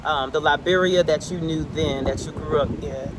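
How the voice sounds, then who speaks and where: A man's voice: a little high, fairly even intonation, normal speed, noisy background. A young adult man from the United States hosting an interview with his father, eager and affirming, with frequent fillers. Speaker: interviewer, host. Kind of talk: conversational interview.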